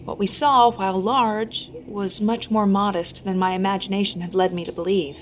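A woman narrating scripted first-person horror fiction in a measured, expressive storytelling style. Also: medium pitch, a little hiss or hum.

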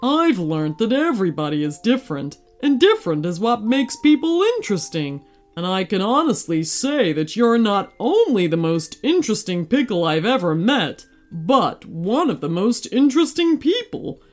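A woman's voice: mid-range voice, very animated, moderate pace, soft background music, lightly acted. A woman voicing a thoughtful, friendly little male dog in a storybook, giving kind advice. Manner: warm, thoughtful, advising.

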